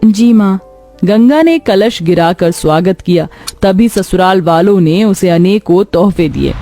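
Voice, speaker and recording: A woman's voice: lowish voice, lively intonation, a little slow, warm tone, soft background music. A woman narrating a scripted cartoon story.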